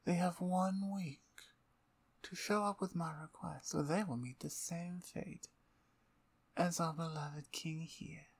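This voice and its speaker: A woman playing a dominant, playful vampire conqueror in an ASMR roleplay, with a breathy, near-whispered voice and an imperious, taunting manner. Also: lowish voice, slow, heavily acted. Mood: commanding.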